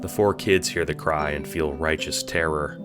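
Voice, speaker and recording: A man's voice: a bass voice, fairly even intonation, normal speed. A man playing a sly, theatrical puppet-master narrator who toys with listeners in an audio drama. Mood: grave, ominous. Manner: teasing, mock-cheerful, swooping between hushes and exclamations. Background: music.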